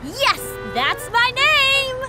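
A woman voicing a cheerful young male bus in an animated series who is eager and quick to apologize, with a bright, sing-song manner. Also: very high voice, full character voice. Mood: apologetic. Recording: music bed underneath.

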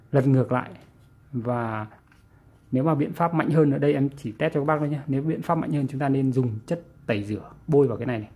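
A man with a northern Vietnamese accent giving a casual hands-on tutorial, halting and repeating words as he goes. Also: mid-range voice, darkish tone, slightly rough. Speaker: vlogger presenting a how-to. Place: small indoor room with audio gear.

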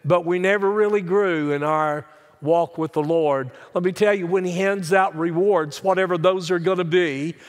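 An older man preaching a sermon as a pastor, earnest and deliberate, stressing key words and posing rhetorical questions. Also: high voice, fairly even intonation. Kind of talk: sermon, Bible teaching. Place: large church sanctuary, speaking from the pulpit. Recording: reverberant church hall sound.

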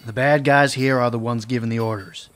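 A weary, guarded marshal, played by a man in a western radio serial. He speaks tersely at a middle pitch. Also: steady tone, a little fast, fairly bright tone, slight background noise, natural voice. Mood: grave. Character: weary gruff male marshal. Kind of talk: radio drama acting.

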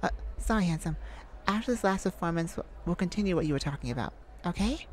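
A woman's voice: on the low side, lively intonation, fast talker, darkish tone, pitched below the performer's own voice, close to an everyday voice. A woman voicing a bashful, flirty male lounge singer in a coy, intimate ASMR roleplay. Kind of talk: ASMR roleplay, intimate addressed-to-listener acting.

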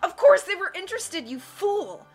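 A woman's voice: very high-pitched, big pitch swings, moderate pace, soft background music, pitched above the performer's own voice. A woman voicing a scheming, theatrical male villain who gloats with mocking laughs and growled threats. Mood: sneering.

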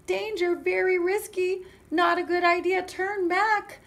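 A woman's voice: very high-pitched, level tone, measured pace, fairly bright tone, voice pushed up, full character voice. A woman voicing an anxious forest creature in a storybook, calling out urgent, emphatic warnings in short phrases. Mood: alarmed warning.

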